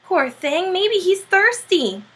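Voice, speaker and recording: A woman doing a gentle, wondering father. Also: very high-pitched, big pitch swings, slow, voice pushed up, full character voice. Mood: kindly.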